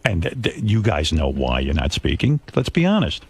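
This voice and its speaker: A man's voice: low-pitched, huge pitch swings, moderate pace, darkish tone, husky. A middle-aged man hosting a radio interview, asking blunt, teasing, probing questions. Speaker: radio show host.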